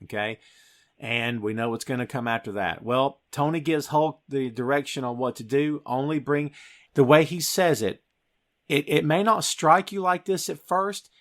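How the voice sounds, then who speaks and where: A man's voice: medium pitch, moderate pace, fairly bright tone. A middle-aged American man hosting a podcast, talking about film in a casual, emphatic, conversational way. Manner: emphatic, conversational, addresses listener as "dude". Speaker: podcast host.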